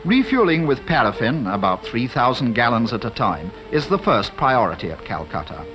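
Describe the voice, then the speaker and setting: A man's voice: mid-range voice, very animated, moderate pace, fairly bright tone. A man with a British accent giving formal, scripted 1950s newsreel narration. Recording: archive newsreel voice-over with music behind.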